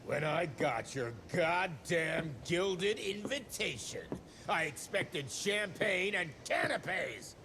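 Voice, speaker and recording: An actor playing a foul-mouthed, furious male government secretary who shouts profanity in a gravelly voice. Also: high voice, expressive, slow pace, noisy background, exaggerated voice. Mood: ranting. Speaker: cutscene character.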